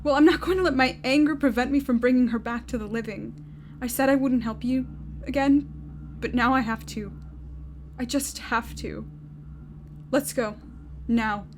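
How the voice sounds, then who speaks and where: A fairly high-pitched young woman acted by a woman, bitter and resolute, speaks bluntly and with command in an audio drama. There is faint noise behind her. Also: moderate pace, pauses often, close to an everyday voice. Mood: angry, resolute.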